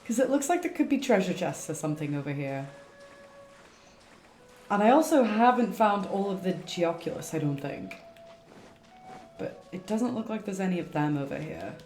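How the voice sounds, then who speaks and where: A woman's voice: fairly low pitch, expressive, normal speed, long pauses, a little mellow. A woman streaming a game with casual, rambling commentary, thinking aloud over game music. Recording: echoey room, faint game music. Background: faint game music.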